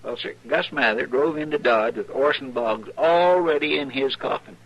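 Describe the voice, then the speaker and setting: An actor playing a folksy, loyal, chatty frontier deputy with a rural American drawl in an old-time radio western. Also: male voice, medium pitch, moderate pace, highs cut off, natural voice. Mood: earnest, talkative. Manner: folksy, plain-spoken, drawling. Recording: old radio recording, noisy, crowd behind.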